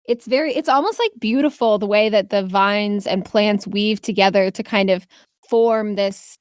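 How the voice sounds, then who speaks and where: A woman's voice: medium pitch, normal speed, no echo. A woman acting as game master, improvising narration in an informal, encouraging, slangy way.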